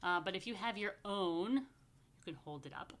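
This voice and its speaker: A woman's voice: medium pitch, lively intonation, moderate pace, a bit bright. A woman speaking as a rabbi to young families, informal and playful, in simple, chatty language meant for children. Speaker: rabbi leading a children's story time and blessings. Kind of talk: informal talk to young families, with story reading and blessings.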